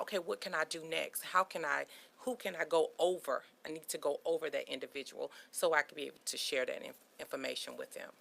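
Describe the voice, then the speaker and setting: A woman's voice: low-pitched, vocal fry, fairly bright tone. A woman guest on a talk show offering practical advice.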